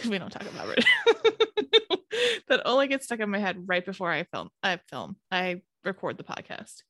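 A woman's voice: medium pitch, wildly swooping pitch, normal speed, bright, forceful tone, no room sound, clean studio sound. A woman co-hosting a podcast, bubbly and giggly, rambling in casual chat. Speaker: podcast co-host.

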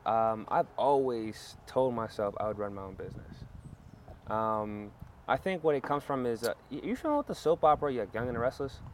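A man's voice: mid-range voice, animated, fairly quick, slight background noise. Laid-back man who keeps saying bro. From Canada. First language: French. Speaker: podcast guest, business owner. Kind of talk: casual interview chat.

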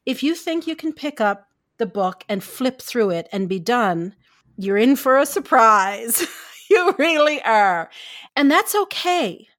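A woman's voice: a little high, sing-song, unhurried, fairly bright tone. A woman from Canada co-hosting a podcast, talking in a casual, chatty way and laughing easily. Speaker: podcast co-host, story editor.

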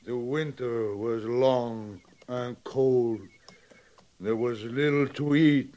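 An actor in a 1950s western radio drama playing a dignified, grieving Sioux chief who speaks solemn, halting, simplified English. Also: male voice, mid-range voice, steady tone, mellow, close to an everyday voice. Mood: grave, grieving. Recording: muffled old radio drama recording.

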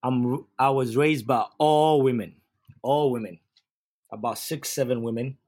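Husky-voiced man from Uganda. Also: mid-range voice, a little slow, darkish tone. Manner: earnest, repeats and restarts phrases. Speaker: youth sports coach. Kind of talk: casual podcast monologue.

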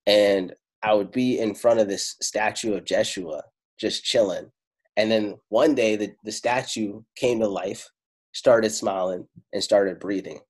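A man whose first language is Italian talks about spirituality in a relaxed, storytelling way, swearing casually and drawing out the words he wants to stress. His voice sits fairly low and has a husky edge. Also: poor audio. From Italy. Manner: casual and profane, slows down for emphasis. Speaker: spiritual speaker.

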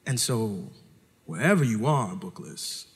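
A man's voice: fairly low pitch, animated, unhurried, very noisy. A man telling a story live on stage, theatrical and dramatic, with pointed emphasis, recorded in a hall.

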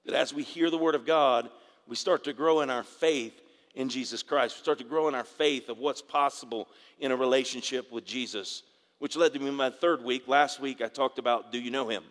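A male pastor preaching a sermon in a church, his voice raspy from dry air, often repeating words and asking for amens. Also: mid-range voice, fairly bright tone. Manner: halting, repeats words, asks congregation for amens. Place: church sanctuary. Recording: long reverb of a large hall.

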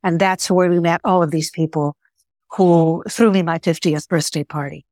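A woman in her sixties, a Greek native speaker, talking as an interview guest in a measured, reflective way, often restarting her words. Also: fairly low pitch, fairly even intonation, a little mellow, no echo, studio quality.

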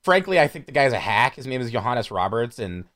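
A man chatting casually as a podcast panelist, critiquing films in an animated, sarcastic way. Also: mid-range voice, a little fast. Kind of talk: casual film criticism chat.

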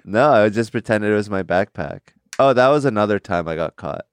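A young man talking in casual chat, deadpan and halting, with pauses and fillers. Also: lowish voice, smooth, no echo, clean studio sound. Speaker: comedian guest.

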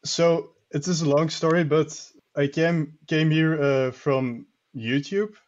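A man's voice: a little high, slow. Dull lo-fi call from a Belgian man. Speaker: guest caller on a livestream. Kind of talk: casual chat. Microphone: online call.

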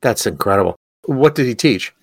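A man's voice: medium pitch, expressive, a little fast, no echo, studio quality. A man hosting an interview, asking curious, enthusiastic questions. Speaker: host. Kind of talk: casual interview.

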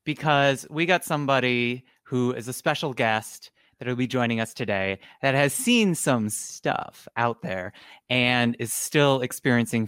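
A man co-hosting a podcast in a casual, playful chat, his voice scratchy and hoarse from overuse. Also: mid-range voice, a bit bright, no echo, studio quality. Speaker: podcast co-host.

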